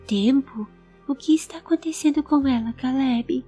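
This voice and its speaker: A woman's voice: sits high, normal speed, mellow, faint music behind, pitched above the performer's own voice, natural voice. A woman voicing an anxious, pleading young male companion in an audio drama. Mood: scared. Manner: urgent, distressed, pleading. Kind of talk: audio drama character acting.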